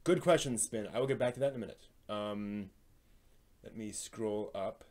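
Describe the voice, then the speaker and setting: A man hosting a live stream, chatting casually and giving recommendations in a halting way, often thinking aloud. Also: on the low side, darkish tone. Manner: halting, thinks aloud, hums filler syllables while searching.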